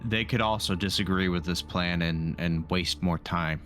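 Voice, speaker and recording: Male tabletop player, low-pitched, conversational delivery. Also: normal speed. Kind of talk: casual group game chat.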